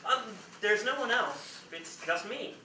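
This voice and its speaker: An actor playing a friendly, oddly cheerful stranger who is eager and reassuring, in a staged play reading. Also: male voice, sits high, lively intonation, measured pace, sharp tone, exaggerated voice. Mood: friendly, insistent. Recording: distant mic, echoey room, faint hiss.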